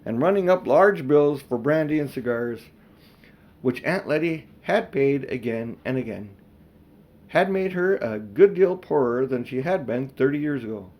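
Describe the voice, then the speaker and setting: Older man reading a story, very animated. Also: medium pitch, a little slow. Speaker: audiobook reader. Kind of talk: expressive storybook narration.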